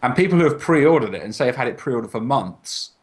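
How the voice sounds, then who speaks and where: A man's voice: medium pitch, expressive, fast talker, slight background noise. A man co-hosting a gaming podcast in casual conversation, wry and opinionated. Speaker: podcast co-host.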